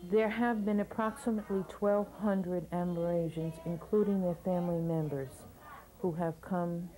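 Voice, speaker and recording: A woman's voice: fairly low pitch, steady tone, normal speed, mellow, low-quality recording. A woman staff member speaking steadily and matter-of-factly in an interview.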